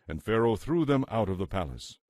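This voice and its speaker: A man's voice: on the low side, very animated, brisk, a little mellow, no room sound. A man narrating a dramatized Bible story as a solemn storyteller.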